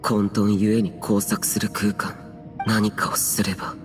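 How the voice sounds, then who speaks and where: A man's voice: fairly low pitch, monotone, unhurried, natural voice. A man voicing a youthful, aloof immortal warrior in a Japanese anime game, terse, solemn and archaic in speech. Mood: curt, stoic. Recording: music behind.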